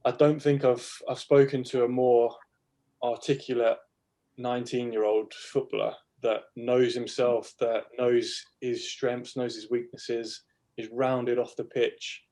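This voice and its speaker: A man's voice: mid-range voice, level tone, measured pace, dark tone. A man co-hosting a podcast, talking in an encouraging, earnest way on a video call. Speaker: podcast co-host. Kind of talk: podcast interview chat.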